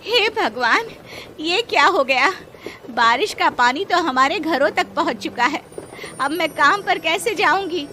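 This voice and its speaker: Woman acting a bright-voiced, earnest poor housemaid. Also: sits high, lively intonation, a little slow, a little hiss or hum, voice pushed up, heavily acted. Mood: anxious. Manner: earnest, anxious. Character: poor, devoted housemaid wife, earnest and pleading. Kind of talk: Hindi moral cartoon story dialogue.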